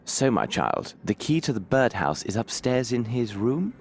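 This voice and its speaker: A man playing a composed gentlemanly detective in a video game, formal, measured and courteous. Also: mid-range voice, very animated. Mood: gentle, coaxing. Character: composed gentlemanly detective disguised as a male nurse.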